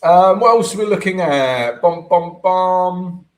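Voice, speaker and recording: A man with a croaky voice talks through his charts on a live trading stream. His pitch swings up and down as he goes, and he keeps checking in with his viewers. He reads out chat comments and answers them. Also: expressive, slow pace, slight background noise. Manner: chatty, keeps asking "right?" to the audience.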